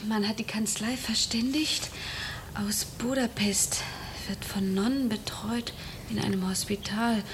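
An actress in a German radio drama playing a devoted, anxious young fiancée with an earnest, emotional delivery. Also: female voice, medium pitch, steady tone, a little slow, noisy background, natural voice. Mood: agitated, relieved. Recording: music behind the voice.

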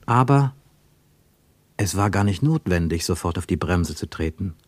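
A man narrating a literary radio travelogue in a measured way, with dramatic weight on single words. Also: sits low, animated, dry recording.

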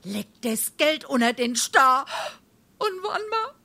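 Aufgeregte hessische Hausfrau, hohe lebhafte Stimme. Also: female voice, high-pitched, big pitch swings, slow, gravelly, pushed and bright, exaggerated voice. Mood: alarmed. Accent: Darmstadt Hessian. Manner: flustered, complaining. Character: excitable, fretful middle-aged housewife and mother. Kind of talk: stage dialect comedy acting.

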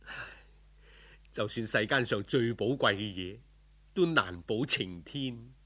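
A man's voice: medium pitch, slow pace, bright, forceful tone. A man voicing a lovesick, humble young scholar in a Cantonese folk tale, sighing and courteous with a plaintive manner. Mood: wistful, resigned. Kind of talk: audiobook folk tale character.

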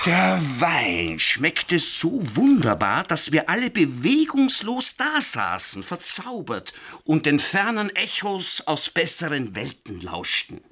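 An actor playing a wry crime-tale narrator in a radio drama, deliberate and relishing each short phrase. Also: male voice, fairly high pitch, sharp tone, exaggerated voice. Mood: savouring. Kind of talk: scripted first-person radio drama narration.

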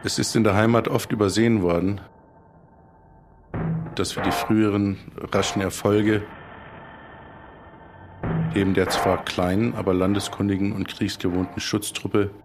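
A man's voice: lowish voice, level tone, normal speed, pauses often. A man reading historical military reports aloud as part of a radio play. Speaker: reader of colonial military records. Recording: clear drum music behind the voice. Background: music with drums.

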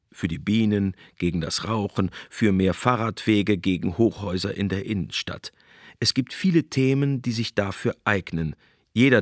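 A man narrating a scripted essay commentary in a measured, reflective manner. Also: lowish voice, no echo, clean studio sound.

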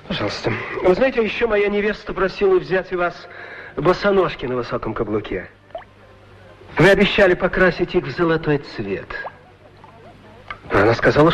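An actor playing a cheerful, polite young opera singer, effusive and courteous, in a radio play. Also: male voice, high-pitched, dull audio, slight background noise. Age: young adult. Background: faint music.

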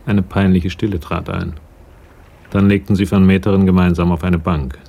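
An actor narrating a radio crime drama in a measured, matter-of-fact way as a sober storyteller. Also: male voice, sits low, level tone, creaky, mellow.

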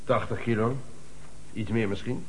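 An actor playing a sporty, defiant murder suspect in a Dutch radio drama, answering with defensive retorts. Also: male voice, fairly low pitch, fairly even intonation, moderate pace, a bit bright, natural voice, rough recording. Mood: guarded.

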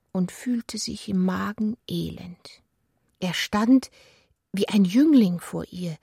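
A woman reads literary prose for a radio play. Her voice is breathy and husky, often sinking almost to a whisper. The delivery is intimate and deliberate, in a very dry studio sound. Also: medium pitch, darkish tone, no room sound. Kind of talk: literary narration in a radio drama.

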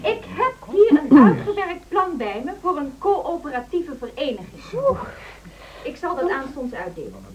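A woman's voice: high-pitched, wildly swooping pitch, measured pace, far from the mic, crowd noise, exaggerated voice, low-quality recording. An actress playing an outspoken, argumentative woman teacher pushing for reform in a radio drama, assertive and articulate. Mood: determined. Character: outspoken, insistent reformist female music teacher. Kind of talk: Dutch radio drama acting.

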